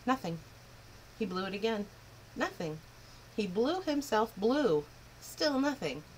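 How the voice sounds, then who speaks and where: A woman reading a picture book aloud to children in an expressive, lilting way. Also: a little high, a little slow, slight background noise, poor audio. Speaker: children's storyteller. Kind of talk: storybook read-aloud.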